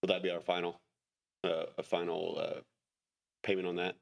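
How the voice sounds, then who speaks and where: A man's voice: deep voice, flat delivery, moderate pace, creaky. A man on a council, politely and a little hesitantly asking informal questions during a meeting. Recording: noisy public meeting recording with clicks.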